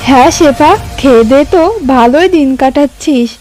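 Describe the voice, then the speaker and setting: A woman's voice: high-pitched, normal speed, dark tone, faint music behind, lightly acted. A woman playing a sly, pushy tigress neighbour in a cartoon, nosy and full of false concern. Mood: feigned concern.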